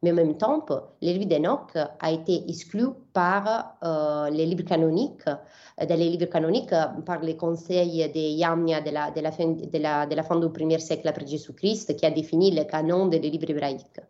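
A woman's voice: low-pitched, steady tone, moderate pace, mellow, highs cut off. A young Italian woman from southern Italy, a biblical scholar answering interview questions in French with many fillers and restarts.

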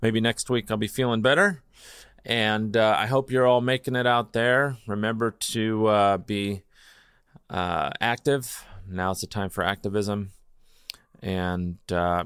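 A man with a low, gravelly voice hosts his own show, thinking out loud in halting, filler-heavy sentences. He sounds a little blue. The sound is close and dry, with no room around it. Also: no echo. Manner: rambling, halting with many fillers. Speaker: podcast host; opera chorus singer. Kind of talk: casual solo intro and interview.

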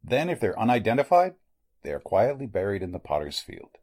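Man playing a deep, husky, sinister old doctor. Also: very animated, darkish tone. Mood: grave, courteous. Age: older. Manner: formal, deliberate, menacingly polite. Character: sinister elderly male doctor, courteous, secretly a murderer. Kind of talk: 1940s radio mystery acting.

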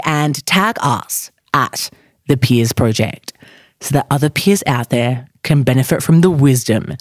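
A woman's voice: rumbling low, a little mellow, no room sound, studio quality. A woman hosting a podcast, reading an intro and then interviewing with effusive enthusiasm. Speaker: podcast host.

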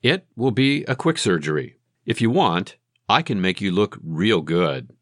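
Man playing an animated, lowish-voiced obliging surgeon. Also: unhurried, dry recording, clean studio sound. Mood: casually reassuring. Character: obliging, sales-pitching male plastic surgeon coroner. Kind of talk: audio drama acting.

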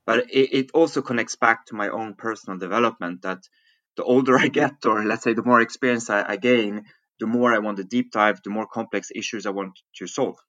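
Bright-voiced Swiss man, bright dry sound. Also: fairly low pitch, no echo, dull audio. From Switzerland. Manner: thoughtful, repeats words while searching for phrasing. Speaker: podcast guest, digital business executive. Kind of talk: conversational interview answers.

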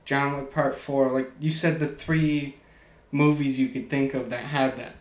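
A young man co-hosting a podcast, making deadpan dark jokes in casual chat. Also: medium pitch, a little slow, roomy sound. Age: young adult. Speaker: podcast co-host.

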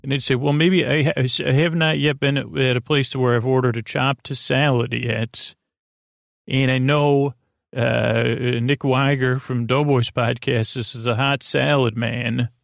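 Creaky-voiced man, soothing and rambling. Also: medium pitch, steady tone, dry recording, studio quality. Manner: meandering, halting, stammering with tangents and self-interruptions; soothing, lulling. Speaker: podcast host. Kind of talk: rambling bedtime sleep-podcast monologue.

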